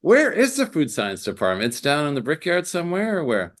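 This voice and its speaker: A man's voice: fairly high pitch, wildly swooping pitch, a little fast, fairly bright tone. An American man hosting a podcast interview in a chatty, jokey way with exclamatory reactions. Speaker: podcast host.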